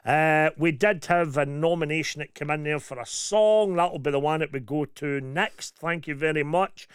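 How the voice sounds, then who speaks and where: A man's voice: fairly high pitch, smooth, no echo, studio quality. A Scottish man co-hosting a radio show, chatting casually with his co-host and reading out listener messages with a joking manner and plenty of fillers. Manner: chatty, jokey, many fillers. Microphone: studio mic.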